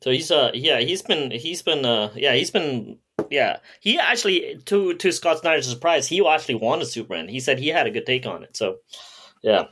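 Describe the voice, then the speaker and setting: A man with a middle-pitched voice chatting on a comics podcast. His delivery is conversational and somewhat tentative, like a relaxed panelist thinking through his opinions as he goes. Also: normal speed. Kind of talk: casual comic book chat.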